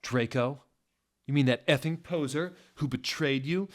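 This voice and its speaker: A man's voice: mid-range voice, unhurried, pitched above the performer's own voice, close to an everyday voice. A man voicing an angsty, jealous teenage goth vampire boy with a dramatic, brooding delivery. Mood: angry.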